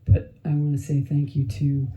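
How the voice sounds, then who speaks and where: A man, a fire chief, giving halting remarks full of fillers and restarts at a public commission meeting. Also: medium pitch, completely flat delivery, dark tone. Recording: dull lo-fi meeting audio.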